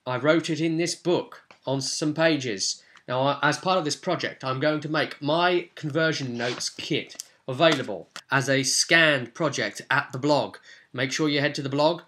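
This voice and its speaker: Male gaming vlogger, bright forceful voice, informal walkthrough commentary, chatty and animated in his asides. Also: mid-range voice, measured pace, a little hiss or hum. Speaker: tabletop gaming vlogger, game master.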